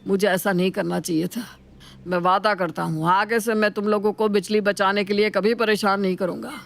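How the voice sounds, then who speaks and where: A man's voice: high-pitched, level tone, a little fast, creaky, slight background noise. A grumbling older Indian father-in-law from a cartoon family tale. He is thrifty and easily exasperated, and scolds in exclamations. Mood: contrite. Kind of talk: Hindi animated moral story acting.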